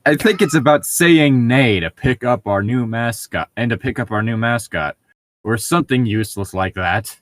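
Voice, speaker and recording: A man casually reading aloud and bantering with friends, exasperated, sardonic and quick to swear. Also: mid-range voice, fairly bright tone. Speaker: podcast co-host reading aloud. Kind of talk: casual group fan fiction read-aloud with banter.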